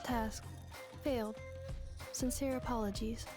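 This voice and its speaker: A woman voicing a polite, calm, literal humanoid robot maid in a game, halting and formal. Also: a little high, natural voice. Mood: apologetic, impassive. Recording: game music behind the voice.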